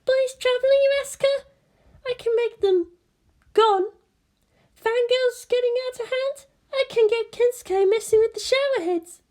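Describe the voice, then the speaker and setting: A woman's voice: very high voice, measured pace, darkish tone, pitched above the performer's own voice. A woman voicing a bossy schoolgirl class representative who is prim, commanding and sweetly menacing. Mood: sly, friendly.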